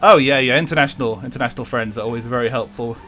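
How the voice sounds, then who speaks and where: A man's voice: mid-range voice, very fast, fairly bright tone. English man, young, streaming gameplay, chatty and giggly, salty language, faint game music underneath. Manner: laughs often, swears freely, chats with viewers. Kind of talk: casual chat while live-streaming a racing game.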